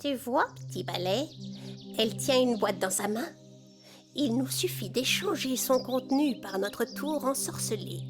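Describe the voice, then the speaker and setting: A woman's voice: a little high, animated, unhurried, music in the background. A woman voicing a gloating evil witch queen in a cartoon fairy tale, theatrical and scheming. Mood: sly, gloating.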